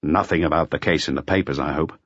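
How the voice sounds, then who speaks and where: A man's voice: extremely low pitch, quick pace, a bit bright, slightly rough, no echo, muffled recording, pitched below the performer's own voice, exaggerated voice. A man voicing a terse, weary police chief inspector who puts curt, clipped questions.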